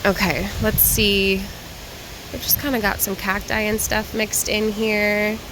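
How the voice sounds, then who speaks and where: A chatty woman talks through her walk around a garden center, naming plants and prices as she browses. She sounds worn out and slightly raspy from too little sleep. A steady hiss sits under her voice on the handheld recording. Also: medium pitch, a little slow. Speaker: plant vlogger. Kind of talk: casual walk-and-talk vlog. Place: garden center shop floor.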